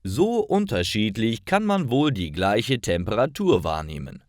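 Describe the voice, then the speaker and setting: A man narrating a scripted story for language learners, enunciating carefully and deliberately. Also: fairly low pitch, big pitch swings, no room sound, studio quality.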